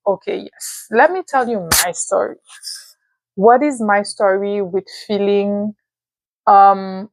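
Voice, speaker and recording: A young woman with a Haitian accent, a native speaker of Haitian Creole and French, giving a thoughtful advice talk to camera with pauses and fillers. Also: mid-range voice, dry recording. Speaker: vlogger.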